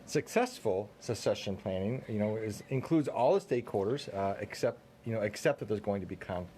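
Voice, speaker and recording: Man, middle-aged, low pitch, casual banter, folksy discussion style, some background noise. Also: animated, fairly quick, dark tone. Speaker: co-host of a business talk show.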